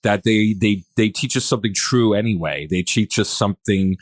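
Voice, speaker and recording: A man hosting a podcast, posing questions in a casual, rambling way with halting, restarted phrases. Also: lowish voice, no room sound. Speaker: podcast host.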